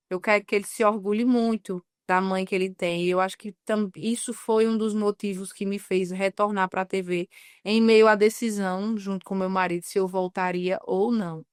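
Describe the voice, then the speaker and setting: Smooth-voiced woman journalist, reflective. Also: medium pitch, fairly bright tone, no room sound, studio quality. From Northeast Brazil. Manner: earnest, slows down on key words. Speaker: journalist guest. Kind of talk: reflective interview talk.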